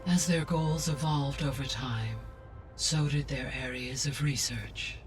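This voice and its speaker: A woman playing a serene, learned artificial intelligence in a video game, measured and formal. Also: extremely low pitch, fairly even intonation. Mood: calm, measured. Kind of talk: acted game dialogue. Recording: game music behind.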